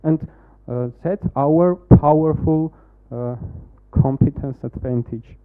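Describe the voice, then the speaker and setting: A man with an Armenian accent, a native Armenian speaker, pitching a startup and then answering questions in halting English with many fillers. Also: smooth. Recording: tinny phone-like sound.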